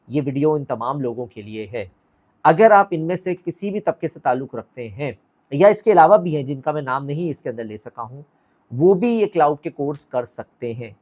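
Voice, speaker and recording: A man's voice: a little high, a little mellow. A middle-aged man speaks straight to camera as the founder of an academy. He urges the viewer on and keeps putting questions to them in a direct, motivational way. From Pakistan.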